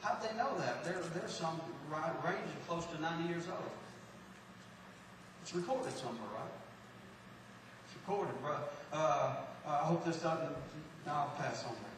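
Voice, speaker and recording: Texan man, pastor, high voice, folksy sermon with stumbling restarts, heard from afar in a booming church hall. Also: unhurried. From Texas, USA. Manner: folksy, repeats and restarts words, loud-soft swings. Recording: echoey hall from far off, faint noise.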